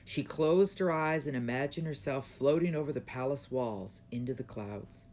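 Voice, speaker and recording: A man reading his own children's book aloud in expressive storybook narration. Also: mid-range voice, moderate pace.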